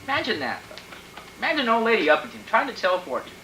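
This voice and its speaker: A wisecracking, grumbling radio-comedy husband, acted by a man, with a bright, sharp voice and very animated pitch. He talks in folksy slang, in a roomy stage recording. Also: fast, a little hiss or hum, heavily acted. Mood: grumbling, mocking. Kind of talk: old-time radio comedy acting on stage.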